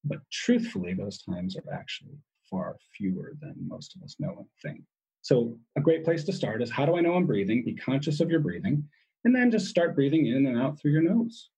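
A man's voice: mid-range voice, sing-song, normal speed, warm tone, slightly rough, rough recording. A man speaking as an interview guest, explaining in an emphatic way and often repeating his key words.

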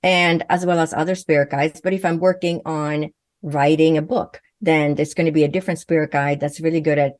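A woman speaks in a low, deep register, earnest and fluent, though she often restarts her phrases. The sound is close and dry, with no room echo. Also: fairly even intonation, a little fast. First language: Swedish. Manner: earnest, fluent with frequent self-corrections. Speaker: guest, medium and physician. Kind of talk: conversational interview answers.

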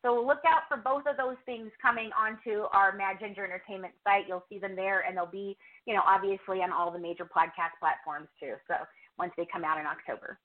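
A woman's voice: mid-range voice, steady tone, fairly quick. A middle-aged woman chatting casually as a podcast-host guest in an interview. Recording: thin phone-like call audio.

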